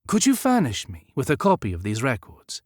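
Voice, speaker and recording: A man voicing a bright, eager, upper-class young Victorian gentleman in an audiobook, with an airy, polite and languid manner. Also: mid-range voice, wildly swooping pitch, fairly quick, no room sound, studio quality. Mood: curious, languid.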